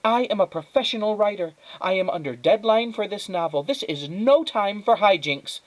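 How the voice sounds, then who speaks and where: Storybook mother, played by a man: high-pitched, exasperated and imperious, scolding with emphatic, swooping self-importance. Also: expressive, moderate pace. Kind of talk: storybook read-aloud character.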